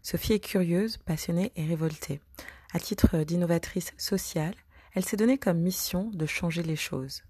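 A woman hosting an interview podcast, reading a scripted intro and then gently asking questions with some hesitation. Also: on the low side, moderate pace, breathy edge. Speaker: podcast host.

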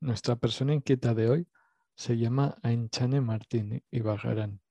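A man's voice: medium pitch, fairly even intonation, mellow, no room sound. Self-deprecating Basque Spanish man host. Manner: self-deprecating, rambling with pauses. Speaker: podcast host. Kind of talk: casual interview podcast.

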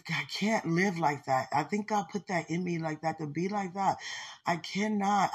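A woman with a rather low, husky voice hosts an informal faith podcast. She rambles in an unscripted way and addresses the listener intimately, like someone dear to her. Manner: speaks intimately, as if to a loved one. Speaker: podcast host.

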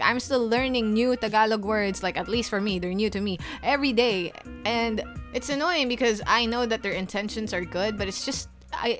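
A woman's voice: medium pitch, a bit bright. A young woman from the Philippines hosting her own podcast, talking in a chatty, rambling way with lots of fillers and restarts. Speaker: podcast host. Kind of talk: solo podcast monologue.

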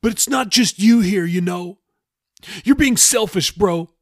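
A man's voice: high voice, unhurried, no echo, studio quality, pitched above the performer's own voice. A man voicing a desperate young male captive sailor in an audiobook, pleading and agitated in slangy speech. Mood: angry, desperate.

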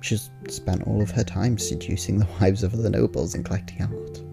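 A man's voice: fairly low pitch, fairly even intonation, brisk, vocal fry, music in the background. A man playing a friendly, rambling diarist in an ASMR roleplay, talking casually with wry, hesitant asides. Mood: musing, amused.

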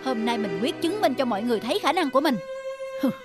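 Woman acting a high-pitched, boastful young village hunter. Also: sing-song, fast talker. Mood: determined. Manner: dramatic, exclaiming, self-talking. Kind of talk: animated folk-tale acting. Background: music.

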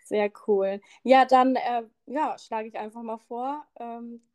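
A woman's voice: on the high side, fairly even intonation, slow, mellow. A woman with a Franconian accent hosting a podcast, talking in an upbeat, friendly way with frequent laughter. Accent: Franconian German. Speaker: podcast host. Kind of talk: casual interview chat.

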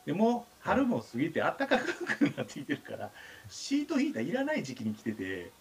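A man's voice: a little high, fairly quick, slight background noise. A man with a Kansai accent chatting casually as a radio host, telling comic anecdotes with acted-out dialogue. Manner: animated storytelling with acted-out dialogue. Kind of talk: casual comedic anecdote chat.

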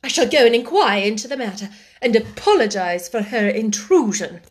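A haughty schoolmistress speaks with clipped, rigid politeness that turns to cold command, as a woman narrator puts her on. Her voice sits a little high and carries loudly. This is a storybook reading. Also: expressive, normal speed, natural voice. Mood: stern, indignant. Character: haughty stern middle-aged woman schoolmistress.

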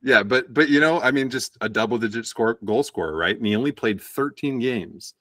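A man's voice: mid-range voice, brisk, fairly bright tone, dry recording, studio quality. A man co-hosting a sports podcast, matter-of-fact as he reels off numbers. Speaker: stats-minded co-host. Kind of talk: casual sports chat.

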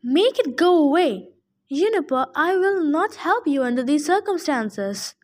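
A blustering old satyr , put on by a woman reading the story aloud, talks in a high, fussy voice. He is pompous and jittery and stammers when scared, in a storybook reading. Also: very animated, unhurried. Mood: indignant.

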